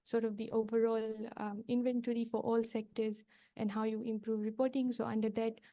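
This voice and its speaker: A soft-spoken woman from Fiji gives a workshop talk as a project coordinator. Her voice is mellow and somewhat high. She pauses often and fills the gaps with hesitations. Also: little pitch movement. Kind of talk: workshop presentation without slides.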